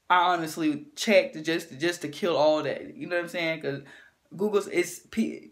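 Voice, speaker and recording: A woman with a deep voice gives an animated opinion rant to camera, often checking in with her listener. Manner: animated, keeps asking "you know what I'm saying". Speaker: vlogger.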